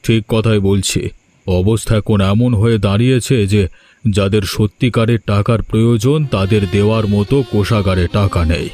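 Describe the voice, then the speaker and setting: A man's voice: fairly low pitch, fairly even intonation, normal speed, mellow, natural voice. A man playing a worried, kindly middle-aged village landlord in a cartoon, speaking earnestly over music. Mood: worried, sombre. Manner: earnest, troubled. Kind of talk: dramatic cartoon dialogue. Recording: music behind the voice.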